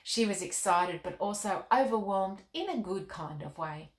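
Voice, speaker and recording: Woman, children's storytime host, medium pitch, animated narration, encouraging manner, echoey room. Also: moderate pace.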